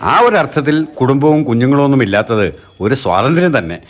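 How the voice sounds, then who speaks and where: A man's voice: mid-range voice, big pitch swings, fairly quick, close to an everyday voice. A man acting a friendly, teasing middle-aged railway colleague in a radio play. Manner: conversational and joking. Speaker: radio drama actor.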